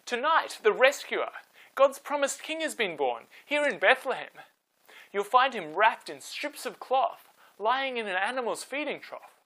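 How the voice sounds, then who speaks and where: A man's voice: very high voice, very animated, measured pace, pushed and bright, voice pushed up, exaggerated voice, rough recording. A man voicing an angel who proclaims good news emphatically in a children's storybook tale. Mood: joyful, proclaiming.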